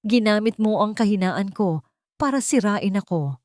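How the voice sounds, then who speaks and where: A woman's voice: mid-range voice, lively intonation, moderate pace, a little mellow, no echo, voice pushed up, lightly acted. A woman plays a bitter male executive in an audiobook drama. The delivery is cutting and accusing, with a Filipino accent and a commanding, wounded edge. The recording is dry and close. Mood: hurt rage. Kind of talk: audiobook character acting.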